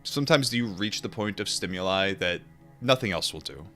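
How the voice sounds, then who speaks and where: A man reading a book aloud and adding informal asides, in a measured, deliberate manner. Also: sits low, expressive, bright, forceful tone, faint music behind. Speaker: audiobook reader and commentator.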